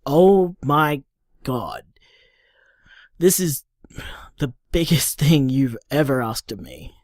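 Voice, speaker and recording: A woman's voice: rumbling low, expressive, slow, a little mellow, no echo, full character voice. A woman playing a caring, stubborn, sweary partner in an audio drama, talking in an emphatic, coaxing, informal way. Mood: stunned. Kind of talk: naturalistic audio drama monologue.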